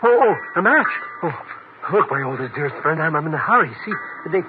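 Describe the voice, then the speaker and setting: An actor playing an excitable, hapless comic sidekick with a blustering wise-guy manner in a 1950s radio drama. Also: on the high side, brisk, very noisy. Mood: flustered, impatient. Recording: tinny old radio recording, loud music.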